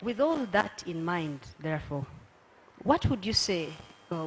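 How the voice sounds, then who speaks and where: A woman moderating a public panel discussion in a formal, somewhat hesitant way. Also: sits low. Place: lecture hall with an audience. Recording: noisy hall with crowd sound.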